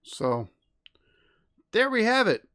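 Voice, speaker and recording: A man's voice: sits high, big pitch swings, slow, fairly bright tone. American man, reaction host, rambling and exasperated commentary. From United States. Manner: rambling, exasperated, lots of fillers. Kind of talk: casual commentary.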